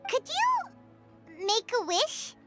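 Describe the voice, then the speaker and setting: Little game fairy, childlike squeak, very lively pitch, perky, bossy, piercingly high. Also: female voice, extremely slow, exaggerated voice. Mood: coaxing, impatient. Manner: perky, expressive. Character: small floating fairy companion, childlike, curious, excitable, bossy. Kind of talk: video game voice acting. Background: music.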